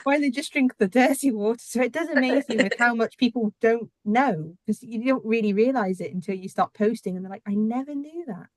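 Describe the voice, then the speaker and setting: A young woman hosting a casual group interview, chatty and enthusiastic, quick to laugh. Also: a little high, no echo. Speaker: podcast host.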